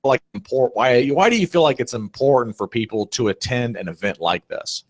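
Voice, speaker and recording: A man's voice: medium pitch, animated, moderate pace. A man hosting an online interview series, chatty and loose, leaning on "you know" fillers. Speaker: host. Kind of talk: casual interview.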